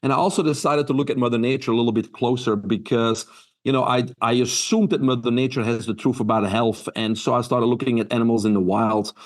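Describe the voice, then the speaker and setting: A man's voice: medium pitch, steady tone, a little fast. A man in his fifties from Belgium with a Belgian accent, giving earnest interview answers full of fillers and restarts. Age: 50s. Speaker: guest.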